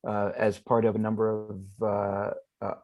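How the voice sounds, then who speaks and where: A man's voice: fairly low pitch, completely flat delivery, moderate pace, darkish tone. A middle-aged man, a former police chief, introducing himself formally with many fillers. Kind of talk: panel introduction.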